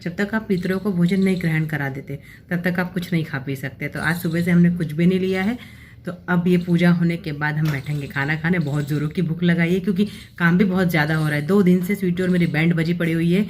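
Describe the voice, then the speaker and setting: A woman's voice: on the low side, fairly even intonation, a little fast, darkish tone, slight background noise. A woman in her thirties talking through a family vlog at home, chatty and explaining things at length with rambling asides. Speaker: family vlogger.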